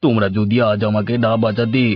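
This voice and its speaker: A man's voice: lowish voice, little pitch movement, quick pace, close to an everyday voice. A man voicing a sheepish, greedy village uncle who is a petty thief in a cartoon. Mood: remorseful. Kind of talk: cartoon voice acting. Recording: dull lo-fi audio with music.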